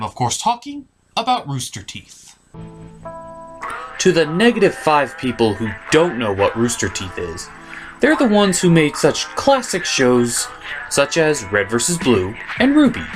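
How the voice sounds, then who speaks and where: A man delivers a scripted comedy review with theatrical emphasis. His mid-pitched voice swings widely up and down as he rants, with clear music playing behind him. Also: wildly swooping pitch, unhurried, poor audio. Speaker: host and reviewer.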